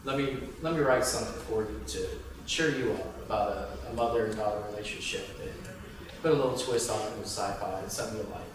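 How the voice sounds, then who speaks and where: A man's voice: on the low side, quick pace, recorded from a distance. Man in a very echoey, noisy room. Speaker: screenwriter. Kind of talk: panel question-and-answer, casual. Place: indoor room at a table read event.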